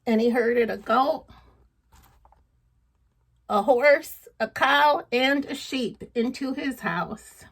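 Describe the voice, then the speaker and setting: A woman tells a children's story in a fairly high voice. She takes it very slowly and reads with playful drama, as if drawing young listeners in with questions. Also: husky. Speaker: storybook reader. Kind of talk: expressive children's read-aloud storytelling.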